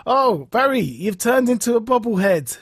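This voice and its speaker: A man co-hosting a podcast in casual banter, amused, teasing and quick to mock himself. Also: very high-pitched, sing-song, fairly bright tone, husky, no room sound, studio quality. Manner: amused, teasing, self-mocking. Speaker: podcast co-host.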